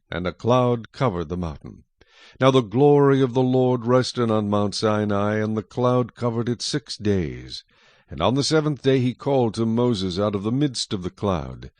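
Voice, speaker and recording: Low-pitched solemn male Bible narrator. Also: darkish tone, no echo. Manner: measured, solemn. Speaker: scripture narrator. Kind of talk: scripted dramatized Bible narration.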